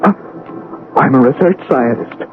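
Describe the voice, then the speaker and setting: An American actor playing a proud young scientist, shaken and bewildered, with intense, halting delivery in a radio drama. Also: male voice, medium pitch, phone-quality sound, music in the background, natural voice. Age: young adult. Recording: noisy, band-limited old radio broadcast.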